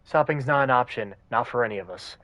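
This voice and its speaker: A man's voice: medium pitch, a little fast, a bit bright, dry recording, close to an everyday voice. A man reading out the lines of a determined young man who fights spirits, grim and urgent.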